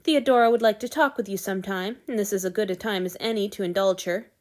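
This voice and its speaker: A woman's voice: medium pitch, fast, a little mellow, natural voice. A woman voicing a brusque, grumbling male god in a storybook audiobook. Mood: brisk. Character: gruff male god, lord of the gods, brusque but tender.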